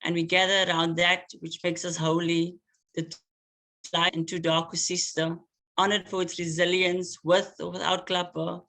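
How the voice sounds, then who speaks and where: Man reading poetry slowly. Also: sits high, flat delivery, sharp tone. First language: Afrikaans. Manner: slow, measured recitation with hesitant asides between pieces. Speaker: poet.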